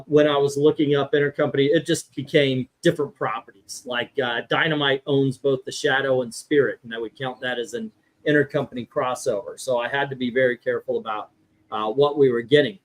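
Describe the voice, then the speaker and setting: Man chatting casually, halting delivery. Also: fairly high pitch, low-quality recording. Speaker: livestream host. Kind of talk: casual livestream chat.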